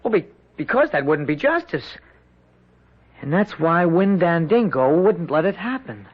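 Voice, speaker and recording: A simple, stubborn young soldier lad from an old radio drama, speaking in a high, lively, folksy voice. He is naive, halting and utterly earnest. The sound is dull 1970s lo-fi radio. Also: slightly rough, full character voice.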